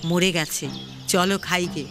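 A woman's voice: lowish voice, fairly even intonation, unhurried, pitched below the performer's own voice, natural voice. A woman voicing a female fox, an anxious, practical wife in a children's story, over music. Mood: matter-of-fact.

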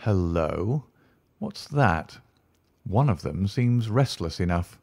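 A man voicing a calm, incisive male detective who speaks in a measured, commanding way. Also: fairly low pitch, creaky, no room sound, studio quality, full character voice.

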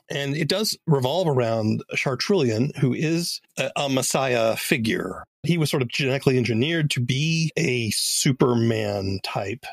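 A man's voice: medium pitch, creaky, dry recording. A man hosting a podcast interview in a conversational style, asking questions and summarizing.

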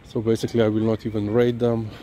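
A man talking haltingly on a dog walk outdoors, filling pauses with "you know" and "um". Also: fairly low pitch, completely flat delivery, mellow. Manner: halting, many fillers and restarts. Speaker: dog-walking vlogger. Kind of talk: casual walk-and-talk monologue. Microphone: camera held low, walking. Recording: outdoor noise behind the voice.

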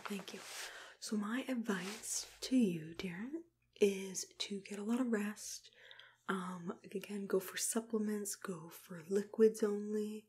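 A young woman doing an ASMR roleplay as a caring dentist, mostly whispering in a gentle, reassuring way, close to the microphone. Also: medium pitch, a little hiss or hum. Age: young adult. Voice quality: breathy, hushed, mostly whispered. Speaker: ASMR creator playing a dentist.

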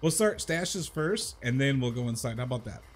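A man's voice: medium pitch, expressive, normal speed, soft background music. A man in his thirties chatting casually to viewers as he plays a game, rambling and repeating himself in an informal way. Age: 30s. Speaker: video game livestream host.

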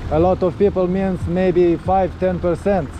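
A man's voice: high-pitched, fairly even intonation, a little slow. A middle-aged man from Moldova, a Romanian speaker, speaks English. He chats casually with dry humor while riding a bike through the city. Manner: chatty, dry humor, laughs at own remarks. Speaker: ride organizer and videographer. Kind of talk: casual chat while cycling. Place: outdoors on a city street, riding a bike in traffic. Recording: loud street traffic behind.

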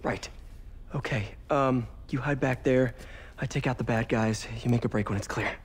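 A man's voice: medium pitch, normal speed, a little hiss or hum, lightly acted. A man playing an earnest, boyish young American superhero in a video game, friendly and a little hesitant. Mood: concerned, awkward. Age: young adult. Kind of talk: naturalistic cinematic video game acting.

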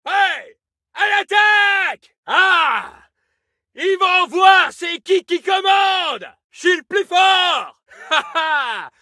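A man playing a fierce French army soldier in a war video game, barking short battle lines in a strained full-throated shout. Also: extremely high pitch, lively intonation, extremely slow, dry recording, clean studio sound, exaggerated voice. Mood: triumphant, aggressive.

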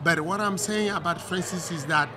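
Man, French accent, high pitch, animated coach, repeats words, hotel room interview, crowd murmur behind. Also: normal speed. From Cameroon. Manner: animated, gestures while talking, repeats words while searching for English. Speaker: MMA coach. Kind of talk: casual interview. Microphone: desk mic on stand.

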